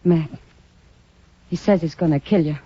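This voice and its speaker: A woman's voice: sits low, unhurried, loud music behind the voice. Concerned frontier woman, muffled recording. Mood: worried. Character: concerned, tender frontier woman warning the marshal. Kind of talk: 1950s radio western acting. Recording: dull, hissy vintage radio recording.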